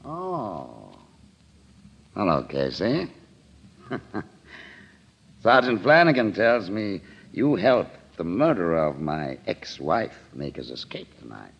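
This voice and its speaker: A man playing a slippery, needling murder suspect with a gravelly voice in an old-time radio crime drama. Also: sits low, sing-song, takes it slowly, pauses often, fairly bright tone, full character voice. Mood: sly, testy. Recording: muffled old radio recording.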